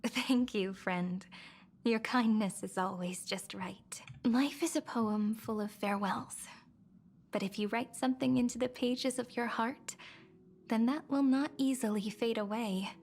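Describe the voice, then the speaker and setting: A woman voicing a gentle, wistful young woman in a game, speaking softly in a breathy, half-whispered way. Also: on the high side, unhurried. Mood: tender. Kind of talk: game voice acting.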